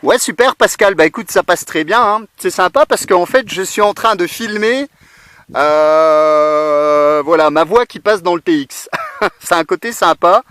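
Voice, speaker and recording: A man talks in a slightly high voice with very little room sound around it. He speaks casually and haltingly, like a radio hobbyist passing the microphone back and forth from an outdoor station. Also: fairly bright tone, smooth, dry recording. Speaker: amateur radio operator. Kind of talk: casual radio contact chat.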